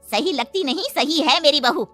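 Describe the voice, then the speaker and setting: A woman's voice: sits high, moderate pace, sharp tone, dry recording, soft background music, pitched above the performer's own voice. A woman voicing a protective, middle-aged mother-in-law in a cartoon moral story, motherly, firm and emphatic. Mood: indignant, scolding.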